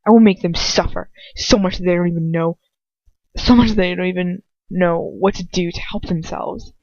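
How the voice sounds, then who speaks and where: A woman's voice: lowish voice, steady tone, moderate pace, a little mellow, no echo. A woman narrating first-person fiction as an amateur audiobook reader, in an earnest, emotive, dramatic style. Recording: dull lo-fi home recording.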